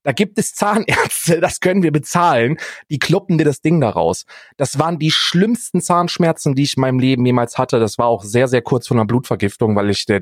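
A man's voice: medium pitch, no echo. Man telling self-deprecating stories, dry close sound. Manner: self-deprecating, dramatic exaggeration. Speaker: podcast co-host. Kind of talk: casual personal storytelling.

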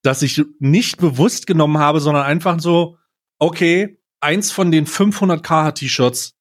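A man chatting casually as a podcast co-host, deliberate and mock-serious in how he puts things. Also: fairly high pitch. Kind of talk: casual chat.

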